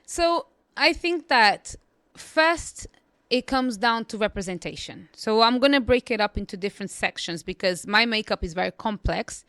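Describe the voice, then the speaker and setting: A woman's voice: on the high side, lively intonation, moderate pace, a bit bright, no room sound, studio quality. A young adult woman who grew up in the UK after immigrating, with English not her first language, talking confidently and emphatically as a podcast guest. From immigrant raised in the UK. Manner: confident, emphatic, repeats "you know what I mean". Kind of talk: conversational interview.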